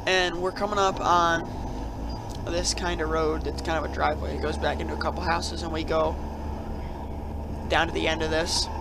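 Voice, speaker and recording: A man's voice: fairly high pitch, flat delivery, normal speed, noisy background. A young man with a cold, chatting casually as a channel host and rambling with many fillers and restarts. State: has a cold. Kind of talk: casual video commentary. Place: at home.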